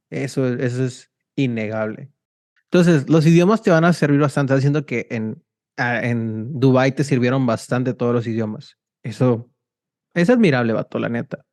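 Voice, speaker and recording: A young man from Mexico, hosting a casual interview and telling stories in a slangy, animated way. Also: mid-range voice, dry recording. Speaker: podcast host.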